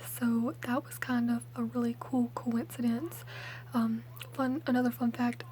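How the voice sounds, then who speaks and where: A young woman, speaking softly and often whispering in a chatty, hesitant ASMR storytelling style. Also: fairly high pitch, slight background noise. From Mississippi, USA. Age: late teens. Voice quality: soft, hushed, often whispered. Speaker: ASMR video creator. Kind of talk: ASMR, rambling personal storytelling.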